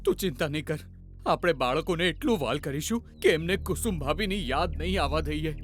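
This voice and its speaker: A man voicing a practical village family man in an animated story for children. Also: a little high, fairly quick, natural voice. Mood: reassuring. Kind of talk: children's cartoon story dialogue. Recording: faint music behind voice.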